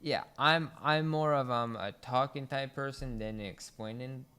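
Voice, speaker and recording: A young man hosting a podcast in a casual, chatty style, with a somewhat halting delivery. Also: medium pitch, bright, forceful tone, slight background noise. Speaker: podcast host.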